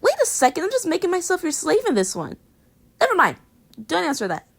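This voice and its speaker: A woman's voice: very high-pitched, wildly swooping pitch, fairly quick, vocal fry, exaggerated voice. A woman playing a playful, clingy young girlfriend in an intimate pillow-talk roleplay audio, teasing and affectionate as she rambles between murmurs and exclamations. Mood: animated, mock-indignant.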